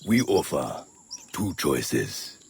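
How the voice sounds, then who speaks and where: Extremely deep, menacing thug. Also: male voice, sing-song, extremely slow, a little mellow, slight background noise, exaggerated voice. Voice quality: very deep, gravelly, raspy. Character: menacing, slow male thug threatening smugglers. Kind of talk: video game voice acting.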